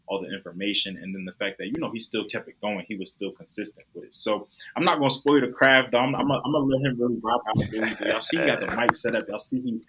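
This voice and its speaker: A man's voice: lowish voice, fairly even intonation, a bit bright, slight background noise, rough recording. A young man hosting a podcast in a casual, hyped-up way, full of slang. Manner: hyped, slangy, rapid talk. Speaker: podcast host.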